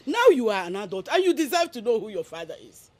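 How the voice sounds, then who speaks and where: A woman's voice: fairly high pitch, sing-song, fast, darkish tone. A woman playing a mother who earnestly pleads with her grown son, emotional as she speaks.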